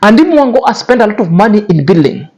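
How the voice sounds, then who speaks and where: A man's voice: sits high, sing-song, fast, a little mellow. A man hosting a commentary channel, talking in a cutting, repetitive, lecturing way. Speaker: YouTube commentary host. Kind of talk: scornful commentary on influencer drama.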